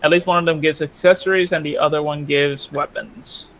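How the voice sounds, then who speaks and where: A man's voice: on the high side, fairly even intonation, brisk, a bit bright. A man talking casually with friends on a gaming voice chat. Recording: noisy voice chat mic.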